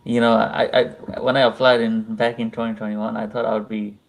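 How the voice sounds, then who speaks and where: A man's voice: fairly low pitch, flat delivery, brisk, vocal fry. A young man from Nepal, a guest in a live interview, talking casually and hesitantly with many fillers. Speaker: interview guest, visa client.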